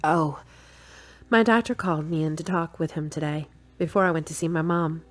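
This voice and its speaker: A woman playing a frank, slightly bossy and stubborn girlfriend in an audio drama, brisk and matter-of-fact. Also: deep voice, fairly even intonation, close to an everyday voice. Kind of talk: acted audio drama dialogue.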